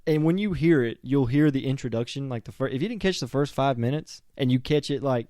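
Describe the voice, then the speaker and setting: A man's voice: mid-range voice, fairly quick, dark tone, no echo, studio quality. A man co-hosting a podcast in loose, casual conversation. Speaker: podcast co-host. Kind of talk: casual chat.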